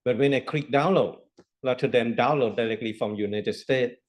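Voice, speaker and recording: A man's voice: medium pitch, normal speed. A man lecturing a university class, explaining step by step with rhetorical questions and repetition. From Thailand.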